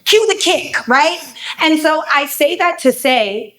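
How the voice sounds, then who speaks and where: A bubbly woman from Canada who speaks like a motivational speaker. Her voice is raspy and a little hoarse. She has a fairly high pitch. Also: animated, slow pace, a bit bright. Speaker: panelist. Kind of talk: live panel talk.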